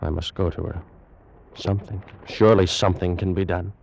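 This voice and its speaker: An actor playing an anguished ancient Egyptian priest, weary of endless life, in grave, theatrical old-time radio drama style. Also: male voice, deep voice, fairly even intonation, gravelly, darkish tone, muffled recording. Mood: grief-stricken disbelief. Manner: theatrical, grave, slow and brooding.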